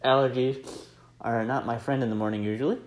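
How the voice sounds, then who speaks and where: An American man talks as the host of a casual personal podcast. His delivery is chatty and rambling, with a medium-pitched voice. It sounds like an informal audio diary recorded at home. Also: steady tone, brisk, low-quality recording. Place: indoors at home, room with laminate floor.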